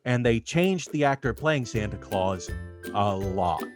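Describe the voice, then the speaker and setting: A man's voice: lowish voice, lively intonation, unhurried, music in the background. A man hosting a video, reading scripted narration. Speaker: video host.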